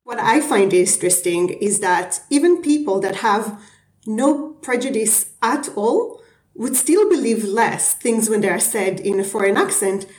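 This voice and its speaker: A woman researcher with an Israeli accent, describing her study in a hesitant way with many pauses. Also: on the high side, lively intonation, darkish tone. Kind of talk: interview answers. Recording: echoey room.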